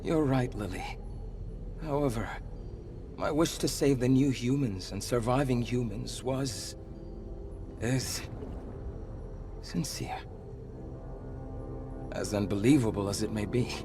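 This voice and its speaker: Solemn, persuasive monster leader, music behind. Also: male voice, medium pitch. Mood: grave, sincere. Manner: grave, measured, deliberate, earnest. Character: solemn, persuasive ancient monster leader, once human, male. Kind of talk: dramatic video game cutscene acting. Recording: background music under the voice.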